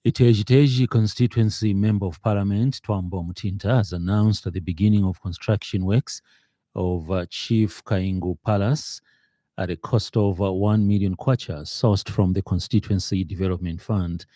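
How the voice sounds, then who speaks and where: A Zambian man reads the evening news on radio with a measured, formal delivery. His voice sits low and sounds very dark and mellow, recorded dry in a studio with no room echo. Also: steady tone. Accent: Zambian English. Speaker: radio newsreader. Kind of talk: news bulletin reading.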